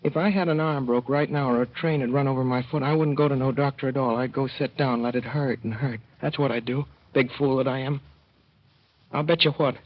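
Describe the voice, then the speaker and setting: A man's voice: medium pitch, steady tone, a little fast, close to an everyday voice. An actor playing a slangy, heartbroken young man scolding himself, in a 1930s radio drama. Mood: angry at himself. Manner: slangy, rueful, talking to himself. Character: lovesick working-class young man, self-reproaching. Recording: dull old radio broadcast.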